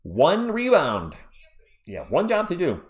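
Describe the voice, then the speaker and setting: A man's voice: fairly high pitch, wildly swooping pitch, slow pace. A man hosting a live sports stream, chatting casually and reading viewer comments aloud, his voice worn out.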